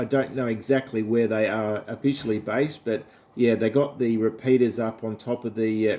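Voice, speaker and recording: A man's voice: lowish voice, mellow. An Australian man talking casually and politely as an amateur radio operator. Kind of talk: casual ham radio chat.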